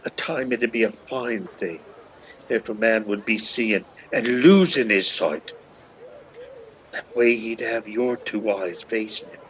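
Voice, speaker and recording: A man's voice: fairly low pitch, measured pace, lightly acted, low-quality recording. An actor playing a lovestruck, shabby old Irish beggar man in a radio play, speaking in long, poetic, pleading runs. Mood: bitter, yearning. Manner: poetic, long rolling pleas. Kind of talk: lyrical stage radio-play acting.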